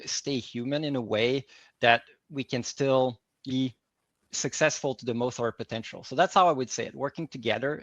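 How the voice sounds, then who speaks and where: A man's voice: medium pitch, fairly bright tone, no room sound, lo-fi sound. A man from Quebec City whose first language is French, answering interview questions in an earnest, searching way. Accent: Québécois French. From Quebec City, Canada. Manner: earnest, restarts and repeats words while thinking. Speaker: guest, workplace psychology advisor.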